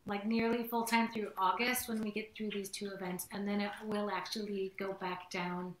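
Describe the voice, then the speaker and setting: A woman's voice: medium pitch, flat delivery, moderate pace, reverberant, a little hiss or hum, low-quality recording. A middle-aged woman, a singer-songwriter, chatting on a video call in a rambling casual way. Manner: chatty, rambling, says "like" often. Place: home room with green wall.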